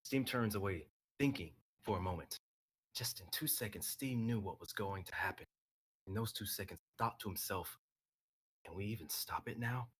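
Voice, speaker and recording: A man's voice: fairly low pitch, moderate pace, breathy edge, poor audio. A young man on a live stream, reading his own dramatic script and chatting casually about it. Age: young adult.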